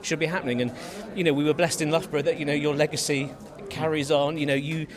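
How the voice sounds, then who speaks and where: A man's voice: a little high, quick pace. A man giving a casual, reflective interview in stop-start phrases at a busy theatre. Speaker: pantomime writer, director and dame performer. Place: busy theatre at an awards event. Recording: lively crowd chatter behind.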